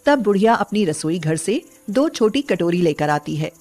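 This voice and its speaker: A woman tells a bedtime folk story as a scripted narrator. Her voice sits at a middling pitch, with faint music playing underneath. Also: big pitch swings, normal speed, darkish tone.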